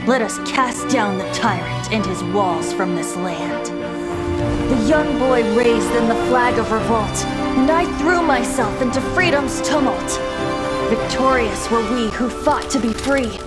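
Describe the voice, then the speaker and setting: A woman's voice: on the high side, measured pace, loud music behind the voice, natural voice. A woman voicing a boyish young male bard, a playful and wistful wind spirit, in a lilting storyteller's manner for an anime game. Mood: solemn, poetic.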